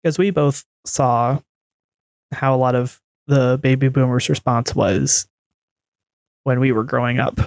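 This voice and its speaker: A woman's voice: rumbling low, steady tone, measured pace, long pauses, a little mellow, no room sound. A woman in her twenties in casual discussion as a podcast co-host. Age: 20s.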